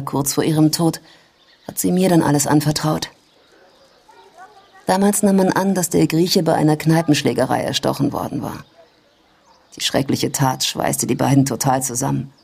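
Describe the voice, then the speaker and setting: Creaky-voiced, candid confidante. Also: female voice, low voice, a little mellow. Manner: measured, confiding storyteller. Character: candid, knowing adult daughter of a Chinese immigrant in Havana. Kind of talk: German radio drama acting.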